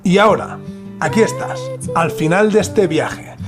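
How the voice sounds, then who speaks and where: A man from narrates a scripted mystery documentary in a solemn, dramatic manner with deliberate pauses. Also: high voice, wildly swooping pitch, over music. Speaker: podcast host narrator.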